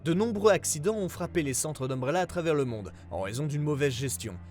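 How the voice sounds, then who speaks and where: High-pitched, expressive male narrator. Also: fairly quick, soft background music. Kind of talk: scripted narration of an investigation report.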